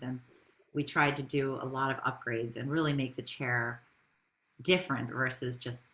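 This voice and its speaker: Low-voiced woman telling her business story. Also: sharp tone, low-quality recording. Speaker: business founder being interviewed. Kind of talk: conversational interview storytelling.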